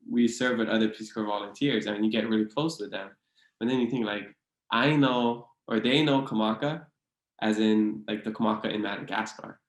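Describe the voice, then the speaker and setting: Laid-back young man in an echoey room. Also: fairly low pitch. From Hawaii. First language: Hawaiian. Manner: relaxed, rambling, many fillers and restarts. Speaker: podcast guest. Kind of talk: casual interview chat.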